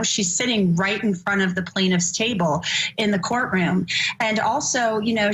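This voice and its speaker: A woman's voice: mid-range voice, a bit bright, a little hiss or hum, poor audio. A woman hosting a legal news show, recounting events in an earnest, conversational way while losing her voice. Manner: conversational, earnest, many fillers. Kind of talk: recounting on a broadcast.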